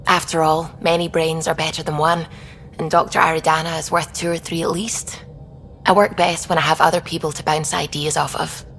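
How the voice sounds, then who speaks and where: A woman playing a thoughtful, devout scientist on a space expedition, explaining things in a measured, reflective way in video game dialogue. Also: low voice, little pitch movement, gravelly, a bit bright, slight background noise. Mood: thoughtful, curious. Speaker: science officer character.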